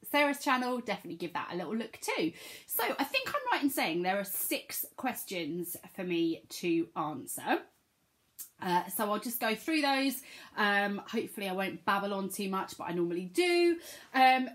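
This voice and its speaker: A woman with a croaky voice from a cold, chatting casually to camera and filling pauses with lots of ums. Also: medium pitch, fairly bright tone. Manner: chatty, many ums, rambling. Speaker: vlogger.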